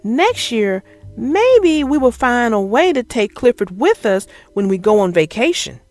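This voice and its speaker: Animated high-pitched woman reading a children's story. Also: measured pace, no room sound, faint music behind. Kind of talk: expressive read-aloud of a picture book.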